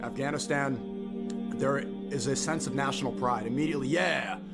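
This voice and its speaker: A man's voice: mid-range voice, moderate pace, over loud music. A man giving political commentary in a skeptical, questioning way.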